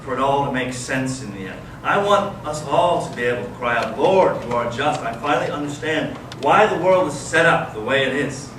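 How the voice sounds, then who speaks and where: A man's voice: mid-range voice, expressive, normal speed, hardly any pauses, lightly acted. Echoey, noisy distant recording. Male actor as an anguished, mocking intellectual elder brother, dramatic stage storytelling. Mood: weary, pleading, then impassioned. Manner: dramatic, swinging from hushed to impassioned. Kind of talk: staged readers theater monologue and storytelling. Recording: echoey stage, distant mic, clear noise.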